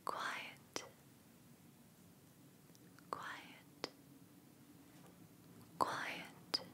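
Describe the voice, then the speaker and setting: A woman guiding a meditation in soothing ASMR style, in a soft, breathy whisper with repeated phrases and long pauses. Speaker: ASMR reiki practitioner and meditation guide.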